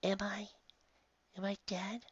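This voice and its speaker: A frail, sorrowful little girl in a story, as a man puts her on. The voice is soft and high, whimpering and whispery, recorded dry and a little dull. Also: completely flat delivery, slow, slightly rough, no room sound, full character voice. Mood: dazed, fearful.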